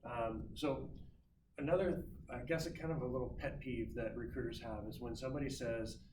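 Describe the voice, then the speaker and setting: A man talks to a class as a visiting recruiter, loose and chatty, stopping often to restart his sentences. He is picked up by a mic well away from him, so the voice rings around the room. Steady background noise sits under the speech. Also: mid-range voice, moderate pace. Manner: loose and conversational, many ums and restarts. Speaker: guest speaker, recruiter. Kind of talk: classroom talk giving career advice. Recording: roomy, distant mic, noisy.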